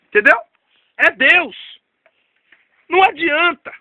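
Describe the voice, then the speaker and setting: A man's voice: extremely high pitch, animated, slow pace, pushed and bright, dry recording, poor audio. A man, preaching an emphatic, repetitive testimony sermon. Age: 30s. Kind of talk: fervent storytelling sermon.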